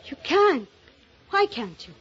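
A woman's voice: extremely high pitch, very animated, takes it slowly, a bit bright, a little hiss or hum, heavily acted. An actress playing a reckless young woman murderer in a melodramatic 1940s radio suspense drama, speaking as both narrator and character. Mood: pleading, insistent. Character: reckless young woman murderer confessing her own dark story. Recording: muffled old radio broadcast with music.

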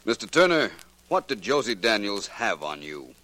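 An American actor playing a shrewd, confident male detective in a 1940s radio drama, speaking in a formal, deliberate, authoritative way. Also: fairly low pitch, sing-song, pushed and bright, exaggerated voice. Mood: probing, confident.

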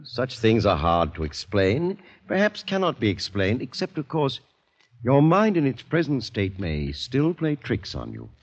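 An actor playing a calm, worldly older man in a 1940s radio drama, deliberate, insinuating and quietly probing. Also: mid-range voice, heavily acted. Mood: measured doubt. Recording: muffled old radio broadcast recording.

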